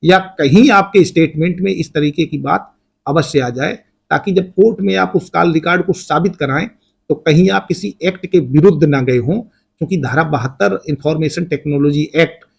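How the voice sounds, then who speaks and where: An Indian man speaks as a lawyer addressing his viewers. His voice sits slightly high and has a husky, rough-edged sound. He explains legal points deliberately, going back over terms and restating them. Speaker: lawyer giving legal advice.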